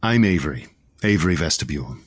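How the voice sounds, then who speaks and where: A man playing a falsely contrite rival in an audio comedy, talking smoothly with sly, pointed insinuation. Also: very deep voice, expressive, fast talker, dry recording, clean studio sound. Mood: apologetic. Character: Avery Vestibule, slyly needling male rival, falsely contrite. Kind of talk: audio comedy drama dialogue.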